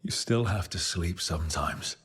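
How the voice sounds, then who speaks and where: An actor playing a charming, steadfast hero in a video game, speaking softly with tender reassurance and gentle teasing. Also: male voice, sits low, normal speed, in a whisper. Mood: tender, reassuring. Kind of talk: intimate video game dialogue. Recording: faint music underneath.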